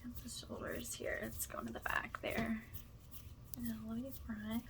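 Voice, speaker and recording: A woman with a soft, breathy voice that often drops to a whisper, gently leading a soothing spiritual ASMR session in a reassuring way. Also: a little high, level tone, a little slow. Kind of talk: ASMR, soothing guided energy cleanse.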